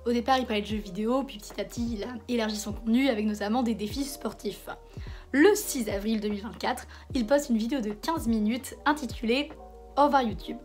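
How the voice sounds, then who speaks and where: A hoarse woman with a cold hosting a casual commentary video, talking conversationally with hesitant restarts. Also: on the high side, fairly quick, a little mellow, soft background music. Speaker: video essayist host.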